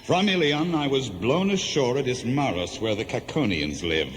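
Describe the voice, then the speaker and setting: An actor playing a crafty, weary Greek hero recounting his troubles in a theatrical, measured radio play style. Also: mid-range voice, animated, lightly acted. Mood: storytelling. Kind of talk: 1970s radio play acting, storytelling. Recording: noisy, long hall reverb, cassette radio play.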